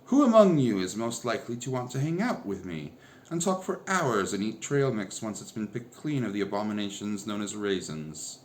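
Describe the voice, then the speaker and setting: A man's voice: fairly low pitch, sing-song, a little fast, a little mellow, a little hiss or hum. A man voicing an eager, talkative teenage girl in a storybook reading, firing off bubbly questions.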